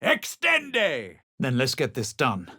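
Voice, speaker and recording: A man voicing a haughty, self-assured fantasy adventurer in a video game, measured and superior in manner. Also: medium pitch, wildly swooping pitch, bright, forceful tone, breathy edge, no echo, clean studio sound, heavily acted. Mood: forceful, incanting, then dry, curt. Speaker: video game player character. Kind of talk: scripted game voice lines, narration and spell incantations.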